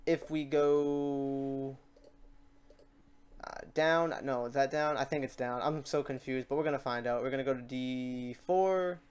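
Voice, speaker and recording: A man with a clean, smooth voice talks through a software tutorial as its host. He is informal and unscripted, working things out aloud as he goes. He is quick to poke fun at his own work. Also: medium pitch. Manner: informal, thinking aloud, self-deprecating. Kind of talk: casual, improvised software tutorial.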